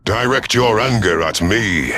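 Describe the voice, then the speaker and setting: A man voicing a solemn, remorseful reptilian alien predator with measured, formal speech in an audio sci-fi story. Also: fairly low pitch, lively intonation, bright, forceful tone, pitched below the performer's own voice, heavily acted.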